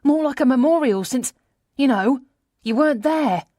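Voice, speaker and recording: A woman's voice: sits high, animated, normal speed, warm tone, dry recording, studio quality, voice pushed up. A woman voicing a distraught, incredulous female friend who speaks bluntly and emotionally in an audiobook. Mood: hurt, resentful.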